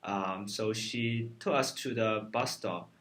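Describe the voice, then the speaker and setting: A man's voice: on the low side, slow, a bit bright. A man telling a trip story in casual chat, hesitant and full of fillers. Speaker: interviewee.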